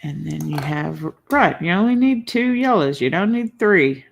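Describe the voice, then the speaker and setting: A woman's voice: lowish voice, expressive, measured pace, vocal fry. Chatty, slightly congested woman. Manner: rambling, chatty, laughs often. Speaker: vlogger hosting a live stream. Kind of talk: casual live-stream chat while building a toy.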